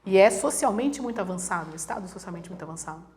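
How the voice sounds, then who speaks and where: Brazilian woman explaining at an even pitch. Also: racing. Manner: explanatory, acts out examples in quoted voices. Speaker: guest, international relations professor. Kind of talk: conversational explaining.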